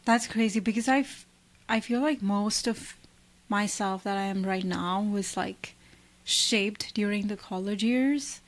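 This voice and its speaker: A woman's voice: mid-range voice, a little slow. A woman in her twenties from India, co-hosting a podcast in casual chat, thinking aloud with many likes and restarts. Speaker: podcast co-host.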